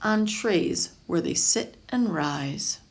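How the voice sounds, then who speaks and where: Gravelly-edged woman reading a picture book aloud. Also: fairly low pitch, slow pace. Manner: expressive, with explanatory asides. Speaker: storybook reader, teacher.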